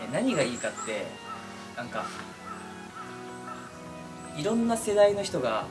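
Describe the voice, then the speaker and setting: Man, lively intonation, casual creator monologue, hesitant conversational asides, background music. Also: medium pitch. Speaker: online voice-drama creator and channel host. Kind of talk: casual monologue to camera, addressing followers.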